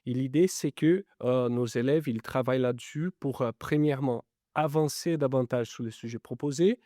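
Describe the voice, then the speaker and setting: A man's voice: medium pitch, normal speed, warm tone, no echo, clean studio sound. A man from Italy and Brazil speaking French with an Italian-Brazilian accent, a non-native speaker answering interview questions as a lecturer-researcher, hesitating often with fillers. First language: not French. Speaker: business school lecturer-researcher.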